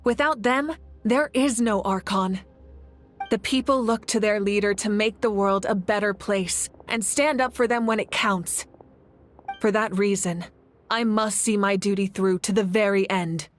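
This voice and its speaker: A woman's voice: mid-range voice, a little slow, soft background music, natural voice. A woman playing a resolute, selfless young leader in a video game, earnest and firm. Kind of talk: scripted video game voice acting.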